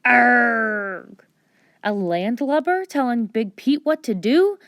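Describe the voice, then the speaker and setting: A woman voicing a gruff, blustering male pirate captain with a stage pirate accent in a storybook. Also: fairly high pitch, animated, slow, a bit bright, no echo, studio quality. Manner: barking, snarling, boastful.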